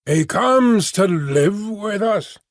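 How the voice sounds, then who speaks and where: A man voicing a hunched male beast-man with a thick voice and a whistling overtone, intoning slowly in a sing-song. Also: sits high, lively intonation, dry recording, studio quality, voice pushed up. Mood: solemn.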